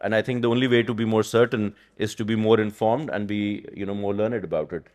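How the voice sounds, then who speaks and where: A man speaks on a conference panel as an economist. His voice sits low, and he talks in a thoughtful, rambling way, tagging points with "you know" and "right?". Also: steady tone, a little fast, darkish tone. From Pakistan. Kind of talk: panel discussion. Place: conference stage.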